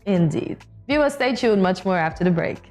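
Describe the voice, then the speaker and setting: A woman's voice: fairly low pitch, animated, moderate pace, a little mellow, soft background music. A woman co-hosting a morning show, chatting casually with playful, competitive banter. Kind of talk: casual studio chat.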